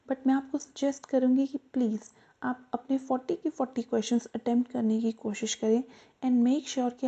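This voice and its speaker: A woman tutor giving an explanatory lesson to students, earnest and repeating her reminders, slowing down to spell out the key points. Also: on the high side, dark tone, breathy edge. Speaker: exam-prep tutor.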